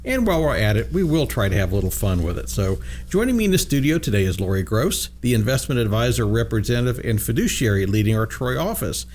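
A man's voice: medium pitch, very animated, quick pace, few pauses, darkish tone, a little hiss or hum. A man hosting a financial radio show from a studio, chatting casually with many fillers and restarts. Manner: easygoing, frequent fillers and restarts.

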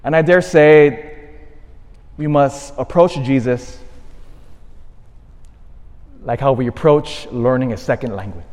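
A man, a priest, giving a homily with a storytelling manner, dropping to a hush and rising to animated emphasis. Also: medium pitch, slow pace, pauses often. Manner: storytelling, swings from hushed to animated. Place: church. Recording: long reverb of a church.

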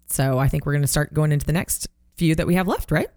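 A middle-aged woman co-hosting a radio show in casual chat, giggly and quick to agree. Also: low-pitched, darkish tone, dry recording, clean studio sound.

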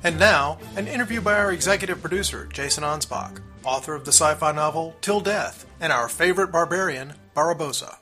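A man's voice: a little high, bright, forceful tone. Man narrating solemnly over music. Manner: measured, solemn storytelling delivery. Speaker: narrator and announcer. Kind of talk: dramatic scripted narration.

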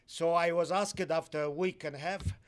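A Romanian-born man speaking in halting, broken English with many fillers, giving a sermon testimony in a church. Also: on the high side, steady tone. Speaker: guest preacher.

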